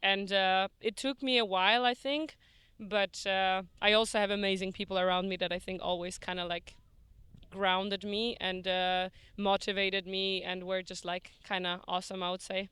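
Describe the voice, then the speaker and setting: A woman's voice: mid-range voice, level tone, normal speed, a bit bright, clear, resonant tone, no room sound. A young woman from Poland, chatting casually in a street interview with frequent laughs and fillers.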